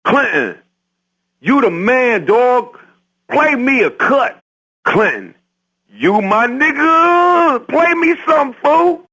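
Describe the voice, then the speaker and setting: A brash hip-hop fan phoning a game radio station. His high, bright voice leaps around as he drags out street slang with hyped-up swagger. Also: big pitch swings, exaggerated voice, low-quality recording. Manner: drawn-out, swooping street slang. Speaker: radio caller.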